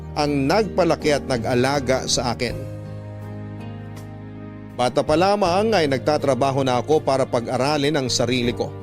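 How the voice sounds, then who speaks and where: A man playing an earnest, humble narrator recounting his youth in measured, scripted Filipino radio drama style. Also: medium pitch, lightly acted. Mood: reflective, wistful.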